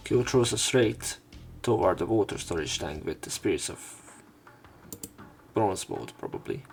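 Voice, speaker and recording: A man reading visual novel narration aloud as a let's player, pausing to comment on the text. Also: lowish voice, animated, gravelly, darkish tone, soft background music.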